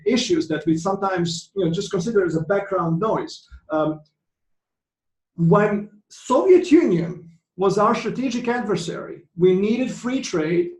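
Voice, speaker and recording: A man's voice: high voice, roomy sound, poor audio. A man from Croatia with a Croatian accent, giving a conference presentation as an economics professor, lecturing with frequent fillers and restarts. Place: conference hall.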